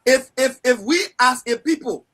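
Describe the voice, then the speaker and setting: A Liberian man with a high, bright voice and a husky edge, arguing hard on a debate panel. He repeats himself to hold the floor and keeps pressing over interruptions. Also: normal speed. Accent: Liberian English. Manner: insistent, repeats phrases, talks over others.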